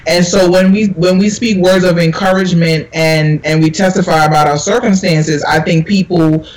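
A woman's voice: lowish voice, fairly even intonation, moderate pace, darkish tone, rough recording. A woman minister from Jamaica speaking in an earnest, sermon-like way on a panel talk, quoting scripture. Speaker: minister, guest. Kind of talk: conversational panel talk with preaching.

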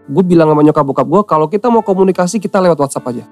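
A man's voice: fairly high pitch, very fast, faint music behind. A young man from Central Java telling his story in casual slangy talk and acting out the voices he quotes. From Central Java, Indonesia. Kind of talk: casual podcast chat, storytelling.

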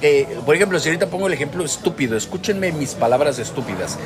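A man's voice: fairly high pitch, animated, fast. A man with a Mexican accent in opinionated, casual discussion, speaking in an earnest, emphatic way and often correcting himself. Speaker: co-host of a discussion show. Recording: music and crowd noise behind, indoor public space. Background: music and chatter.

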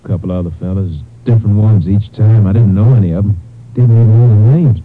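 An American actor playing a dejected, guilt-ridden young gambler in a 1950s radio drama, hesitant and subdued. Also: male voice, lowish voice, steady tone, quick pace, close to an everyday voice. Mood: regretful. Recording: thin, narrow 1950s radio recording.

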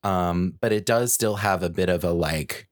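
A man's voice: sits low, normal speed, no room sound, clean studio sound. A man talking casually as a podcast host, reflective and working his way through looping asides. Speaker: podcast host and design researcher. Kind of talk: casual conversational chat.

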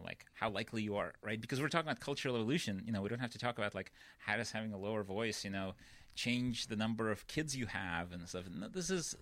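A man's voice: lowish voice, fairly bright tone, no room sound. A man, a guest on a podcast, explaining ideas patiently and often checking in with "right?" and "you know". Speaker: guest researcher. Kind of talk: explanatory interview talk.

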